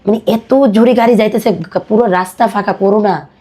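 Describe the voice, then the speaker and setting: A woman with a Bangladeshi accent telling her personal life story as a podcast guest, in colloquial, halting speech. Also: mid-range voice, steady tone, warm tone.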